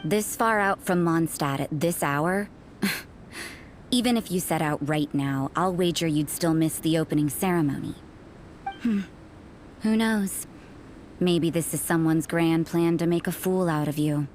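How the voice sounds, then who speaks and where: A low, sardonic church sister. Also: female voice, measured pace, slight background noise. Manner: dry, cutting, mocking. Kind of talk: video game cutscene dialogue.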